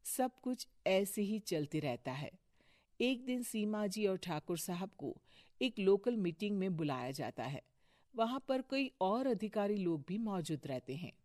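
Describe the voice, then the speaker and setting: A woman narrating a cartoon moral story in a steady storytelling voice. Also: mid-range voice, measured pace, dry recording, clean studio sound.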